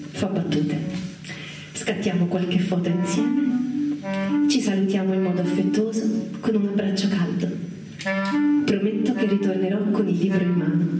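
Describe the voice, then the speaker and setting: A woman giving a dramatic literary reading in measured, deliberate phrases with pauses. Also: lowish voice. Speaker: performer reading from a book. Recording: roomy, distant mic, echoing space.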